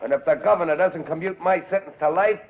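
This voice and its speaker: An actor playing a vengeful condemned gangster in 1940s radio style, talking tough, slangy and threatening. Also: male voice, fairly high pitch, fast, close to an everyday voice. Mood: defiant, threatening. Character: vengeful condemned male gangster, hard and menacing. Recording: muffled old radio broadcast recording.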